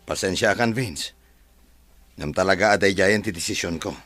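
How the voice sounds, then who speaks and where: A man playing a soft-spoken young suitor. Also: low voice, normal speed, faint music behind. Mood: apologetic. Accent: Ilocano. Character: Marshal, gentle young man in love. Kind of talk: radio drama acting.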